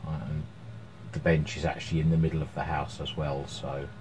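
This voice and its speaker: Casting: man, middle-aged, deep pitch, hesitant hobbyist demonstrating at his bench, some background noise. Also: little pitch movement, fairly quick. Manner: hesitant, unscripted, thinking aloud. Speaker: electronics hobbyist presenting his repair. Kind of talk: informal workbench demonstration. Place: small cluttered indoor workshop at home. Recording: clear background noise, room ambience.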